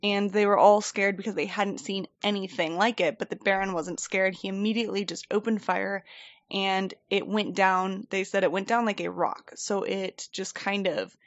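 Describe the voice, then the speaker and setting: A woman's voice: medium pitch, steady tone, moderate pace, no echo, muffled recording. A woman co-hosting a podcast, telling a story in a chatty, rambling way and laughing often. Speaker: podcast co-host. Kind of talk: casual storytelling chat. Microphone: desk microphone.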